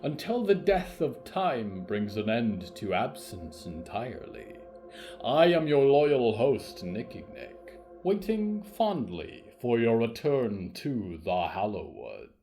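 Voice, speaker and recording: A man playing a wistful, otherworldly storytelling host, delivering a measured, melancholy monologue. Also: medium pitch, big pitch swings, mellow, soft background music. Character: Nicky Nick, wistful otherworldly host addressing dreamers. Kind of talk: scripted dramatic monologue.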